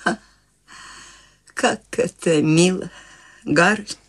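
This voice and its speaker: A woman's voice: lowish voice, extremely slow, breathy edge, full character voice. An actress playing an eccentric, free-spirited elderly woman in a studio radio play, tender and wistful. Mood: whimsical. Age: older. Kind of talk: studio radio drama acting.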